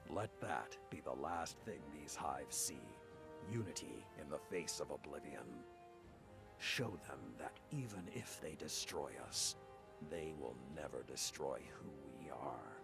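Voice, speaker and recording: An actor playing a stern, solemn commander and mentor in a video game, speaking in a measured, formal and deliberate way. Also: male voice, very deep voice, expressive, creaky, over music, exaggerated voice. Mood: grave, resolute. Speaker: video game character briefing the player. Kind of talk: scripted dramatic game dialogue.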